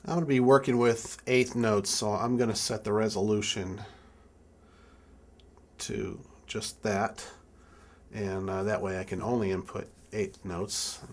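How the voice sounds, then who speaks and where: A man in his twenties giving a casual hands-on tutorial, thinking aloud in a halting way as he works. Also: lowish voice, steady tone. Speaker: music keyboard tutorial presenter.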